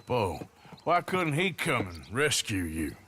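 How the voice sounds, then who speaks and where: An actor playing a wry, protective American outlaw with a folksy drawl in a video game. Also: male voice, mid-range voice, sing-song, slow, pushed and bright, slightly rough, full character voice. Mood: calm, businesslike. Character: gruff, wry American outlaw gunslinger, protective middle-aged man. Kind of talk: video game cutscene acting.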